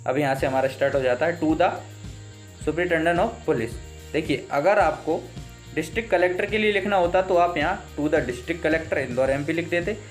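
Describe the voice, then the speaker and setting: An Indian-accented man, a native Hindi speaker, gives an exam lesson in a fairly high voice. He explains step by step and repeats key points in an instructive manner. Faint music plays behind him. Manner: instructive, repeats points, slow deliberate read-outs. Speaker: teacher.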